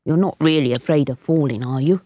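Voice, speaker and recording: A woman voicing a sensible, kindly young boy who talks calmly and reasonably. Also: low-pitched, brisk, no echo. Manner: calm and reasonable.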